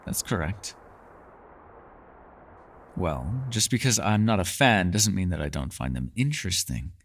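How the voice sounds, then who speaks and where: A man's voice: low-pitched, lively intonation, a little slow, exaggerated voice. A man playing a mysterious, erudite elf in a fantasy ASMR roleplay, speaking in a playful, teasing, slightly formal way. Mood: coy, curious.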